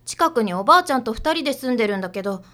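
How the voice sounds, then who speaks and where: A woman's voice: a little high, animated, rapid-fire, bright, forceful tone. A woman playing a perky, pushy young idol in a radio drama, girlish, breezy and familiar. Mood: confiding.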